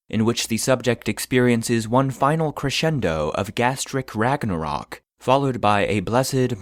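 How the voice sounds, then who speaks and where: A man reading scripted narration in the style of a scientific report, formal and deadpan. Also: lowish voice, no room sound, clean studio sound.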